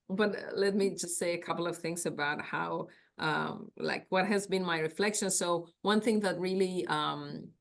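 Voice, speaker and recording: A woman from Greece with a Greek accent, giving a conference talk from her office over a video call on her laptop, thoughtful and searching for words with fillers. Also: on the low side. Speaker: academic panelist.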